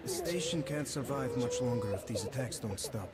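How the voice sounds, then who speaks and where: A man acts a worried resident of a besieged station in a game. He sounds grave and urgent, with lively intonation over prominent music. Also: mid-range voice, normal speed, natural voice. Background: loud music.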